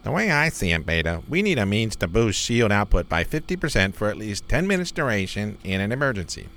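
A man's voice: on the low side, big pitch swings, brisk, fairly bright tone. A man playing a practical, sardonic chief engineer in a parody radio play. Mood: dry, sardonic.

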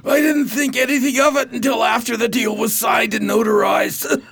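High, gravelly, anguished dying gambler. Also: male voice, steady tone, normal speed, fairly bright tone, raised voice, faint music behind, heavily acted. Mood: anguished confession. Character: ailing, guilt-ridden gambler brother, frantic and confessional. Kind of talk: amateur radio drama acting.

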